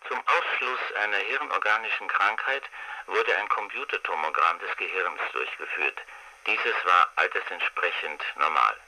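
An impersonal reader of clinical reports in a radio drama. His low voice has a very bright, hard edge. He delivers everything formally and precisely, without involvement. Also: normal speed, slight background noise, poor audio. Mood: clinical, detached. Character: detached male reader of clinical medical reports.

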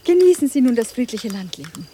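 Refined flirty lady in a radio play, highish voice, slow and lilting, playful teasing, slight noise. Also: big pitch swings. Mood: composed, commanding. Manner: playful, genteel, coaxing. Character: refined, teasing, self-possessed lady with coquettish charm. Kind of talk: German radio play acting.

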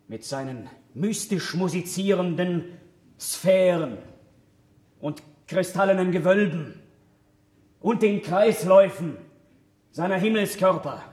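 A condescending court philosopher in a radio play. He speaks slowly and grandly, in a fairly high male voice, relishing ornate phrases. The room rings with echo. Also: darkish tone. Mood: grandiose. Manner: pompous, ceremonious, measured. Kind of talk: theatrical radio drama acting.

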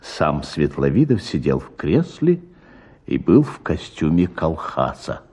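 A man's voice: a bass voice, very animated, a little slow, darkish tone, slightly rough. A man narrating a literary short story in a dramatic audiobook style.

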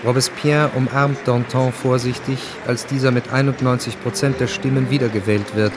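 A man's voice: medium pitch, fairly even intonation, moderate pace, background noise. A man narrating a radio drama from a script. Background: music.

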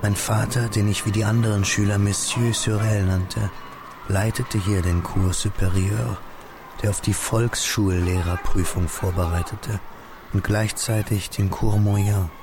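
A man acting as a measured, reflective narrator recalling his boyhood in a literary radio drama, with a creaky voice. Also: lowish voice, steady tone, background noise.

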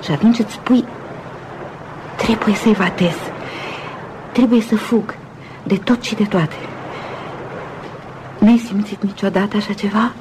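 Dreamy romantic lady played by an actress, old radio play, musing softly in pieces, faint background noise. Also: medium pitch, fairly even intonation, long pauses, lightly acted. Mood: dreamy contentment. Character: dreamy, wistful upper-class woman, romantic and mystical. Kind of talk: Romanian radio theatre acting.

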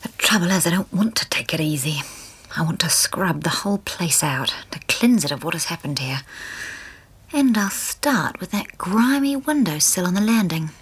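A well-bred young English wife in a radio play. Her voice is breathy and swings widely in pitch. She sounds highly strung and brave. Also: expressive, normal speed, a bit bright, exaggerated voice. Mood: tense brooding. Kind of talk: British radio drama acting.

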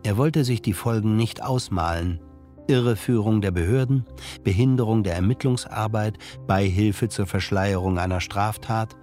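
A man with a fairly low voice narrates an audio drama in scripted literary prose. Faint music runs behind him. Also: moderate pace, a little mellow.

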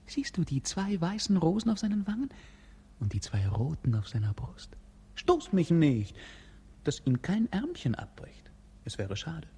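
Languid, bored prince, swooping theatrically. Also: male voice, fairly high pitch, wildly swooping pitch, a little slow, mellow, husky, exaggerated voice. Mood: mocking, melancholy. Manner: theatrical, poetic, mocking. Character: bored, languid, capricious young prince and lover. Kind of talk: 1950s German radio play acting.

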